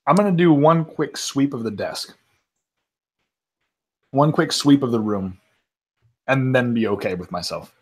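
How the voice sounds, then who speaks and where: A man playing a tabletop role-playing game, improvising dialogue and narrating his character's moves in a dramatic, husky voice. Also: medium pitch, measured pace, long pauses. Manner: animated, dramatic delivery.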